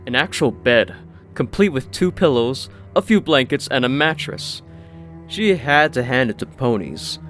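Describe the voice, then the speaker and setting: Lively male fantasy story narrator. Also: medium pitch, a little slow. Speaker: audiobook narrator. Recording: faint music behind the voice.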